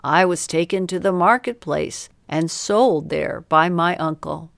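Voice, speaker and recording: A woman voicing a cunning, smooth-talking male thief in a storybook tale, sly and deliberate. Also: sits low, dry recording. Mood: falsely meek.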